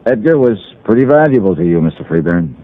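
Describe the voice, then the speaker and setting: A man's voice: lowish voice, very animated, fast talker, a little hiss or hum. An actor playing a confident, sardonic male insurance investigator who narrates a 1950s radio drama in a wry, assured way. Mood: confronting. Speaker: lead and narrator. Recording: tinny lo-fi old radio recording.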